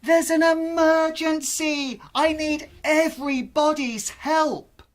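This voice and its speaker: A storyteller voicing a bold, determined little girl in an emphatic, excited way for a children's bedtime story. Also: sits high, takes it slowly, pitched above the performer's own voice. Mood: urgent, commanding.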